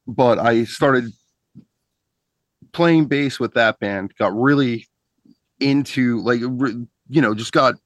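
A middle-aged man from Pennsylvania, a band's singer and bassist, chatting on a video call in a rambling way with many restarts. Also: fairly bright tone, no echo. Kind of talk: casual interview chat.

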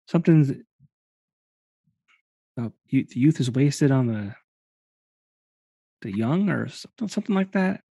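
A man's voice: medium pitch, expressive, unhurried, creaky, pauses often, mellow, dry recording. A man in his late thirties hosting a podcast, musing casually with loose fillers. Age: 30s. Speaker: podcast host. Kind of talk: casual conversation.

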